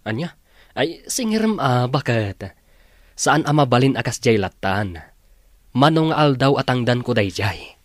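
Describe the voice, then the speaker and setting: A man's voice: mid-range voice, very animated, unhurried, no echo, studio quality. A man in a radio drama, playing the husband and reading the closing announcement in a plain, blunt manner. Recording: music bed under the voice.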